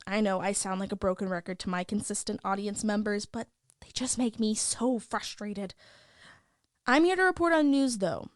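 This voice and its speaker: A gossipy, opinionated young school reporter, played by a woman, reading the news in a fairly high voice. She is chatty and sardonic, as if sharing secrets. The sound is very dry, with no room on it. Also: no room sound, natural voice. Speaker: host of a school news show. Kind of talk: scripted news podcast narration.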